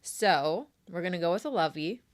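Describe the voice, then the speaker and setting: A young adult woman hosting a crochet live stream, chatty and friendly with viewers while sick with a sore throat, often clearing her throat. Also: lowish voice, lively intonation, moderate pace. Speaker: crochet livestream host. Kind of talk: casual live stream chat.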